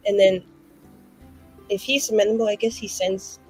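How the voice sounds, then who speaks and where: A woman's voice: medium pitch, steady tone, moderate pace, mellow, soft background music, rough recording. A woman player speaking in character in a tabletop game, chatty and rambling with constant fillers. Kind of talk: in-character roleplay.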